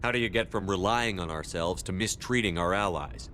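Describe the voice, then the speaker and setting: An actor playing a composed, authoritative starship commander in a video game. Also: male voice, low voice, fairly quick, sharp tone, slight background noise. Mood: measured, firm. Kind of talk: video game character dialogue.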